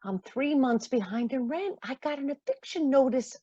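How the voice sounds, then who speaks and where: A woman's voice: high voice, lively intonation, moderate pace, dark tone, slightly rough, dry recording, dull audio. A woman acting a caring, middle-aged mother in a radio play. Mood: angry, desperate. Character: caring, generous mother, a singer struggling with money.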